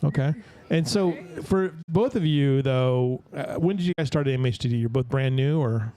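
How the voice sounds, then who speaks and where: A man hosting a local interview show, talking in a rambling, halting way with many restarts. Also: medium pitch, dark tone. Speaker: host. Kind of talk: casual interview.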